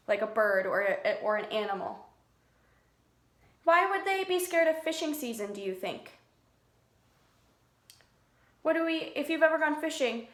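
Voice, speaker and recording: A woman's voice: high-pitched, a bit bright. A woman reading a picture book aloud to young children like a preschool teacher, explaining in simple words and asking them questions. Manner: simple explanatory phrasing, prompting listeners with questions. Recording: echoey room.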